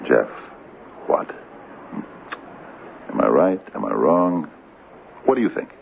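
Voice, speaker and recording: Troubled small-town mayor, radio drama. Halting, humble, swinging expressively from a very deep register. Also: male voice, very animated, vocal fry, exaggerated voice. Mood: anxious. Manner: halting, stammering, earnest. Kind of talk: old-time radio drama acting. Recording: phone-like, noisy old radio recording.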